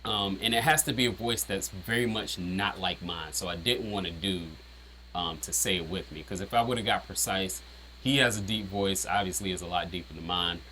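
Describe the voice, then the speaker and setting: A man with a deep voice casually breaking down his own rap lyrics, rambling with lots of "um" and "you know". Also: moderate pace, sharp tone, a little hiss or hum, low-quality recording. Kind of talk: casual explanatory chat.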